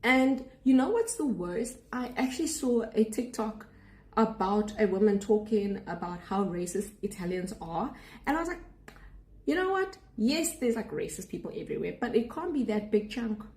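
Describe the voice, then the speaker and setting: A woman's voice: on the high side, lively intonation, moderate pace, a little mellow. A young South African woman with a South African accent, a student abroad, venting to camera in a sarcastic, indignant vlog rant. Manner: sarcastic, indignant, talks straight to viewers.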